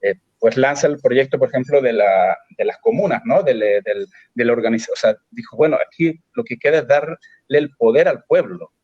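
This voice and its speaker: A Chilean man talks through political ideas in a halting way, with frequent pauses and restarts. His voice sits at an ordinary middle pitch. The sound is dull and lo-fi, with no room echo. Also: husky. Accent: Chilean Spanish. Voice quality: halting, many fillers and restarts. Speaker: guest. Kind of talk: political discussion.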